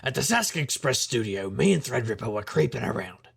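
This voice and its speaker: Very gravelly, wisecracking paranormal detective. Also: male voice, medium pitch, sing-song, a little fast, exaggerated voice. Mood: wry. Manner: wry, rambling, irreverent. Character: wisecracking, jaded paranormal private investigator. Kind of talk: scripted noir-style first-person narration.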